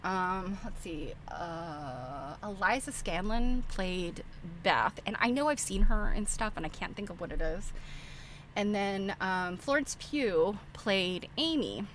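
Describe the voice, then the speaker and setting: A woman's voice: mid-range voice, animated, slow pace, a bit bright, slight background noise. A middle-aged woman hosting a livestream, chatting informally and giving opinionated, rambling film commentary. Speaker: livestream host. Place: live internet stream.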